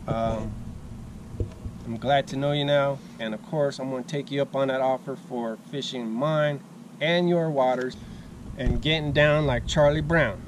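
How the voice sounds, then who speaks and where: A man's voice: mid-range voice, measured pace. A man in his forties unboxing fishing gear outdoors beside a boat, talking in a laid-back, enthusiastic way with plenty of fillers. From Arizona, USA. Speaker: fishing vlogger. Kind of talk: casual unboxing vlog. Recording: outdoor camera audio with background noise.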